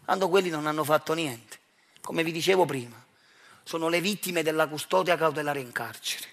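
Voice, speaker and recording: A man's voice: fairly high pitch, moderate pace, fairly bright tone. A man with a Neapolitan accent performing a theatrical monologue, addressing his audience directly in a provocative, accusatory way with rhetorical questions. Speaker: stage actor performing his own monologue.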